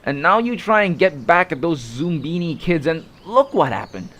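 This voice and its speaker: A man's voice: fairly high pitch, big pitch swings, moderate pace, a bit bright. A man playing a resentful, whiny fantasy creature in an audio drama, griping and blustering as a would-be mutineer. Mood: defiant.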